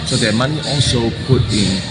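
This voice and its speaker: A man makes an investment pitch in an interview. He speaks haltingly, pausing on fillers and restarting his phrases. Loud music plays behind him the whole time. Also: low-quality recording. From Hong Kong. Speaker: property developer. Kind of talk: interview answers, sales pitch.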